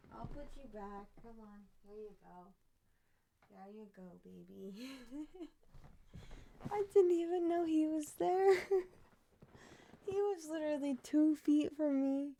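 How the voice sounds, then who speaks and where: A woman in her thirties chatting casually on a stream, rambling with frequent laughs and asides, sometimes dropping to a soft murmur. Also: high voice, vocal fry, a little hiss or hum. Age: 30s. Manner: drops to a soft murmur when talking to her pet. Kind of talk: casual stream chat reacting to a true crime case.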